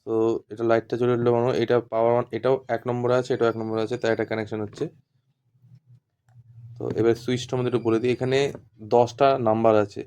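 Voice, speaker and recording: A man's voice: mid-range voice, completely flat delivery, quick pace, pauses often, mellow, clear, resonant tone. A man giving an informal hands-on tutorial as an instructor, explaining step by step and repeating himself. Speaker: instructor demonstrating walkie-talkies.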